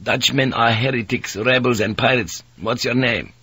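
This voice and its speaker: A man voicing a hostile, hot-tempered priest in his twenties from Portugal, impatient and sharp as he interrogates, in an audiobook. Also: medium pitch, moderate pace, slightly rough, dry recording. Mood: cold, impatient.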